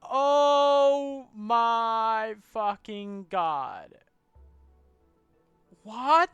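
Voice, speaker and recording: A young woman streaming a video game with a very high voice and unhurried pace. She is casual, reactive and sweary, and her close, dry sound sits over faint music. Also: expressive, smooth, no echo, muffled recording. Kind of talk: casual livestream gameplay commentary.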